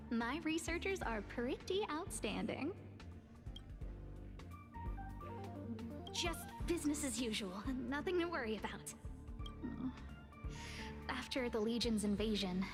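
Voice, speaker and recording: An actress playing a composed, concerned young woman in charge of a space station, polite and articulate, in a game cutscene. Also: a little high. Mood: upbeat. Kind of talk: scripted game cutscene acting. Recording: long reverb, music under the voice.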